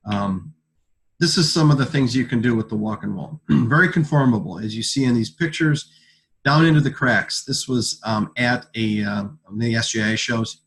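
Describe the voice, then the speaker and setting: A man with a mid-range voice presents products in an informal sales talk. His delivery is halting, and he often repeats a word or phrase before carrying on. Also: medium pitch, fairly bright tone, low-quality recording. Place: conference call.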